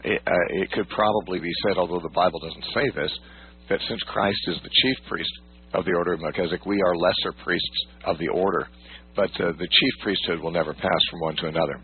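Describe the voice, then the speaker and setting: A man hosting a live Bible call-in radio show, explaining in a measured way with a husky morning voice. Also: low voice, steady tone. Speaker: radio host. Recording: muffled lo-fi radio, humming noise.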